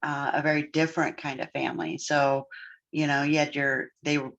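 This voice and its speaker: A podcast co-host and therapist talking through family background in a reflective, measured way, with frequent pauses and fillers. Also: mid-range voice, little pitch movement, gravelly, a bit bright. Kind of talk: casual podcast discussion. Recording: dull, lo-fi sound.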